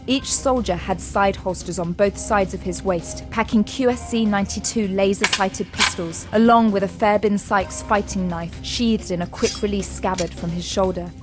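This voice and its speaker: Female narrator, mid-pitched, measured suspenseful storytelling, scripted sci-fi audio drama, clear music bed.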